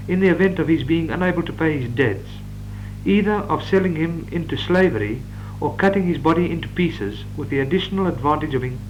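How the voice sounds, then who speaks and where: A man, reading out law course notes in a measured, dictating manner with occasional stumbles. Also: on the high side, dull audio. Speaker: law lecturer. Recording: old reel-to-reel tape with hum.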